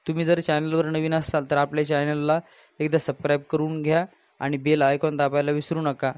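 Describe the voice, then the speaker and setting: Higher-voiced man, channel host. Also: little pitch movement, fairly quick, a little mellow, no room sound. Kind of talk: scripted subscribe announcement.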